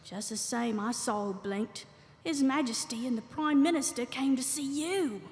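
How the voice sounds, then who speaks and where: A woman's voice: sits high, moderate pace, a little mellow. An actress playing a cheeky, impatient British woman lieutenant in a wartime audio drama. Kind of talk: live audio drama acting. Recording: echoey hall, live stage recording.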